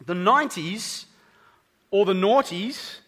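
A man's voice: high voice, lively intonation, slow, a bit bright. A man with an Australian accent preaching a sermon, chatty and conversational with the congregation. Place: church hall. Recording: reverberant church hall.

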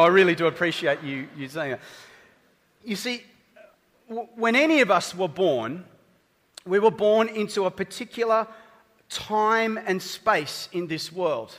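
A man's voice: high-pitched, bright, forceful tone. A man with an Australian accent preaching a sermon, chatty and conversational with the congregation. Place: church hall. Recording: reverberant church hall.

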